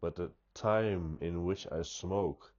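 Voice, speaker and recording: Low-voiced guy, stuffy nose, talking real slow and thoughtful, lots of restarts, kinda dull lo-fi sound. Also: darkish tone. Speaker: pipe-smoking vlogger. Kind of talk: unscripted reflective monologue.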